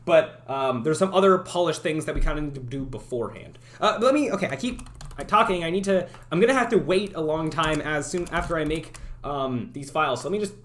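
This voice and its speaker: A young man streaming his game development, chatting casually and thinking out loud as he works, often correcting himself. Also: a little high, expressive, fairly quick. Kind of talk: casual live-stream chat while working.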